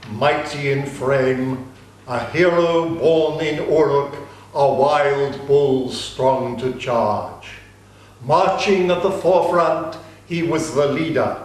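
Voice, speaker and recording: A man giving a slow, measured, solemn reading of an ancient epic. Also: on the high side. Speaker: reader. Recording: very echoey room.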